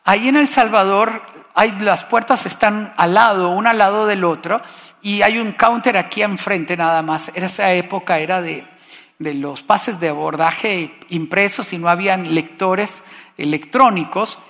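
A man's voice: sits high, moderate pace, fairly bright tone, big-room sound. A man from Costa Rica preaching a sermon, impassioned and building to exclamations.

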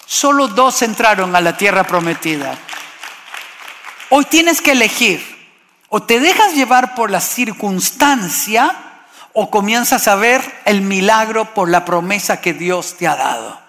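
A man's voice: high voice, lively intonation, unhurried, sharp tone, in a large hall, some chatter behind, slight background noise. A man from Costa Rica preaching a sermon, impassioned and building to exclamations.

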